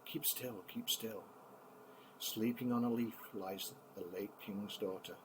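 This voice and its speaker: A man's voice: fairly low pitch, steady tone, slow pace. Older man reading verse, noisy recording. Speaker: grandfather reading poems to grandchildren. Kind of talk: expressive bedtime poetry reading.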